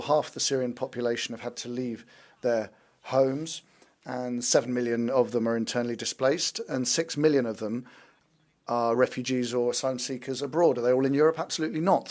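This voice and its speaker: A male interpreter rendering a parliamentary speech into English with a steady, formal delivery and the odd restart. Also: medium pitch.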